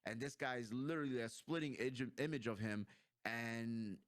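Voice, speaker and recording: An American man co-hosting a casual film review, talking in animated, slangy style. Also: mid-range voice, moderate pace, no echo, clean studio sound. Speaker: co-host of a movie review show.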